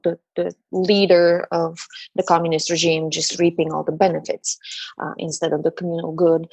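A woman's voice: fairly low pitch, breathy edge, no room sound. A woman from Bulgaria with a Bulgarian accent, a guest in a casual interview, talking chattily with frequent fillers and laughs. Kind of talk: conversational interview.

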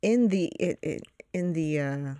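A woman's voice: sits low, lively intonation, a little slow, dark tone, clear, resonant tone, no room sound, studio quality. A woman co-hosting a casual film podcast, teasing and blunt, laughing often. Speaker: podcast co-host.

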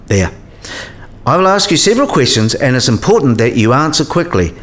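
Man acting as scholarly professor, mid-pitched voice, patient, didactic, explanatory, radio play dialogue. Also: sing-song, brisk, fairly bright tone. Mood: playful, instructing.

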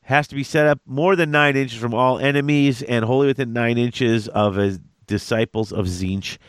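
A man's voice: mid-range voice, normal speed, clean tone, no echo, studio quality. A congested man co-hosting a casual tabletop gaming chat, reading rules aloud in eager bursts. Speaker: podcast co-host.

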